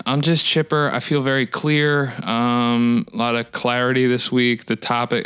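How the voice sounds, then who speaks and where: Gravelly, smooth-voiced man, dry monotone. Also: on the low side, no echo. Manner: rambling, reflective, many fillers. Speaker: musician hosting his own talk series. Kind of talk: casual solo talk to camera.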